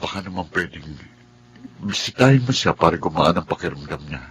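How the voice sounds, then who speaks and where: Casting: a solemn, imploring Filipino ex-husband, very low but forceful voice, radio drama. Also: male voice, expressive, a little fast, slight background noise. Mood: pleading. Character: pleading estranged husband, worried for his ailing mother.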